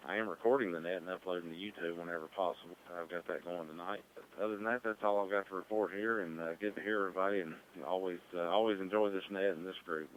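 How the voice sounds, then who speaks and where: A man's voice: deep voice, fairly even intonation, fast talker, slight background noise, poor audio. A man giving a casual, rambling check-in over an amateur radio link. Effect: amateur radio transmission. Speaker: ham radio net participant.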